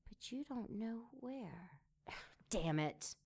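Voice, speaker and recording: A woman playing a sardonic, seductive succubus demon in an audio drama, sultry and mocking in a deliberate way. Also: on the high side, expressive, vocal fry, a little mellow, slightly rough, heavily acted.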